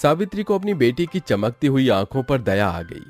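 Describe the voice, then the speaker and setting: A man's voice: medium pitch, sing-song, normal speed, a little mellow. A man narrating a scripted story in a dramatic storytelling style. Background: background music.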